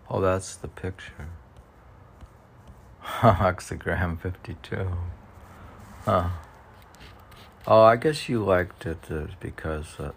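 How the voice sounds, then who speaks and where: A man talking casually and haltingly, musing and muttering to himself as he reads aloud. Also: low voice, vocal fry, slight background noise. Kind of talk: casual rambling talk while reading poems aloud.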